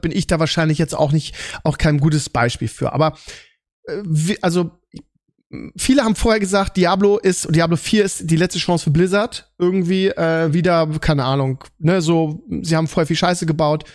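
A man's voice: fairly high pitch, normal speed. A man co-hosting a podcast, chatting informally with slang and tag questions as he puts questions to the others. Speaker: podcast co-host. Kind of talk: casual chat, posing questions.

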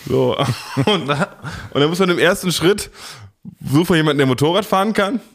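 Ironic, higher-voiced man telling stories. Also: expressive, normal speed. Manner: ironic, amused storytelling. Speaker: podcast co-host. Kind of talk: casual chat, anecdote.

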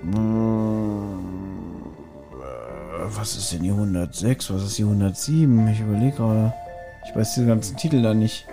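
A man's voice: fairly low pitch, unhurried, pauses often, music in the background. A man co-hosting a casual podcast chat, guessing quiz answers and thinking aloud with many hesitant fillers. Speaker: podcast co-host.

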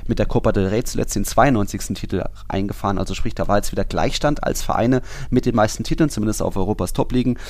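Male football podcast host, slightly low voice, dry close sound, informal and explanatory. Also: fast talker, hardly any pauses, a little mellow, no echo. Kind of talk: casual football podcast talk.

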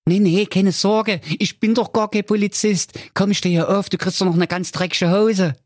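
A man doing a high, eager, simple-minded fellow. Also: fairly even intonation, normal speed, dry recording, studio quality. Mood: friendly. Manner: high, eager and good-natured. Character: naive cheerful simpleton man on a swapping journey.

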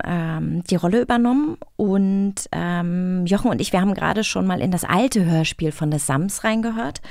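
A woman's voice: mid-range voice, a little mellow, no echo. Woman radio host, dry close studio sound. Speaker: co-host of a radio play magazine. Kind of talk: casual radio chat and interview.

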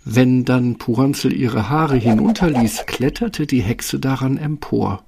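A man's voice: medium pitch, measured pace, vocal fry, warm tone, slightly rough. A man narrating a children's fairy tale as a storybook narrator, with a wry, tongue-in-cheek touch. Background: music.

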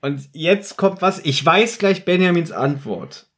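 Higher-voiced man chatting on a podcast. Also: animated, unhurried, a bit bright. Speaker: podcast host. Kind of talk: casual podcast chat.

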